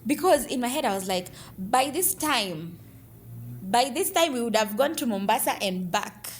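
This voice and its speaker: A young woman telling a personal story in a lively, dramatic way and dropping in Swahili words. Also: a little high, moderate pace, a little hiss or hum. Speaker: storyteller guest clip.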